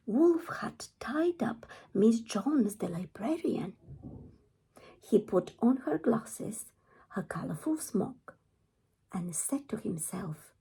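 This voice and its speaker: A woman reading a rhyming children's storybook aloud as an expressive storytime narrator. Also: a little high, vocal fry, breathy edge. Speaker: storytime reader.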